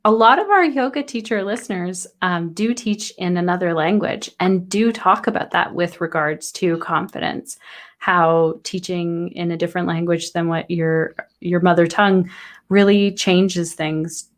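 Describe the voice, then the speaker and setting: A woman's voice: on the low side, measured pace. Encouraging woman podcast host. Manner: encouraging, reflective, asks open questions. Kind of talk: casual interview chat.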